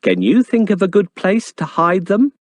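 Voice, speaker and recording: A woman voicing a clever, eager male talking cat in a children's storybook, in an expressive, theatrical way. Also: mid-range voice, moderate pace, dry recording. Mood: confident, scheming. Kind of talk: children's storybook character acting.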